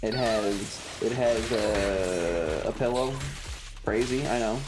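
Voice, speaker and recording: A woman livestreamer chatting casually over a video game in a blunt, sweary, deadpan manner, with game music behind her. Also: a bass voice, takes it slowly, poor audio. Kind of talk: casual game streaming chat.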